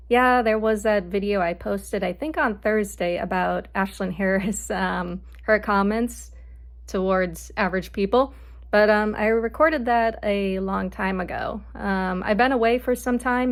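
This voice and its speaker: Casual woman reviewing a soccer match. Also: mid-range voice. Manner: casual, filler-heavy delivery, reads lineups quickly. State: recovering from voice loss. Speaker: sports podcast host.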